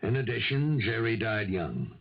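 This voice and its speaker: An actor in a 1970s radio drama playing a skeptical, world-weary police lieutenant with a gravelly voice, reasoning firmly. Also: male voice, fairly low pitch, sing-song, moderate pace, exaggerated voice. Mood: probing. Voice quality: gravelly, husky. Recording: dull, lo-fi old radio recording.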